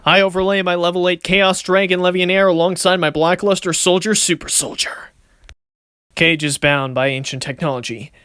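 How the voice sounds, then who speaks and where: A man's voice: high-pitched, steady tone, normal speed, sharp tone, clean tone, dry recording, clean studio sound. A man playing a cocky card duelist in an anime-style series, speaking in a theatrical, smug, declamatory way. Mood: triumphant, commanding. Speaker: voice actor in a fan dueling series. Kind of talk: anime-style dramatic battle dialogue.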